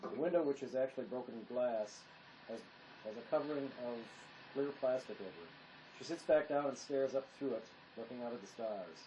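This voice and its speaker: A man's voice: mid-range voice, normal speed, dark tone. A man narrating a play, reading its stage directions as scripted narration. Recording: noisy amateur recording.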